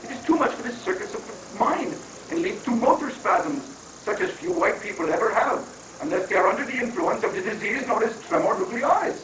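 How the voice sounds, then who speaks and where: A high-voiced, coaxing guru played by a man counsels patiently in stilted, halting phrases. It is a satirical play reading, heard from off the mic in an echoing, noisy room. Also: expressive. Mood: soothing explaining. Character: calm, coaxing male guru advising a president. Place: roomy indoor live reading, far from the mic. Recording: roomy, distant, noisy 1980s live recording.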